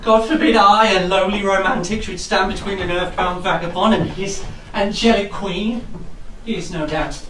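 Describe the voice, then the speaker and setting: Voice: mid-range voice, steady tone, moderate pace, fairly bright tone, close to an everyday voice. An actor playing a florid, bumbling lovestruck poet suitor, gushing rhymed verse in a comic costume-drama stage play. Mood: flowery, pleading. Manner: gushing, declamatory verse with flustered stammering. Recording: echoey stage, far from the mic.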